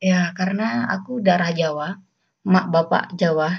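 A woman's voice: lowish voice, unhurried, creaky. A young woman from West Kalimantan with a mixed Malay and Javanese accent, hosting a casual solo podcast in a chatty, giggly, rambling way. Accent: Malay-tinged Indonesian with Javanese roots. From West Kalimantan, Indonesia. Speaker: podcast host. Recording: dull lo-fi sound.

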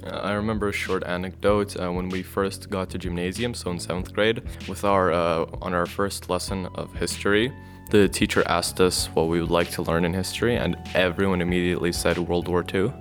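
Low-voiced young male student host, courteous and relaxed. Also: brisk, faint music behind. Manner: polite, asks with "if I may ask". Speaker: student interviewer. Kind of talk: casual interview questions.

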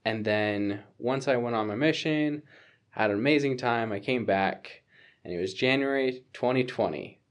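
A man's voice: mid-range voice, moderate pace. A man in his twenties talking casually about his plans, rambling with many fillers and restarts. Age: 20s. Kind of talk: casual conversation.

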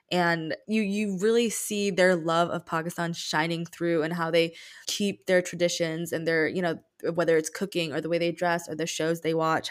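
Low-voiced woman in reflective book discussion. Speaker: co-host of a book discussion podcast.

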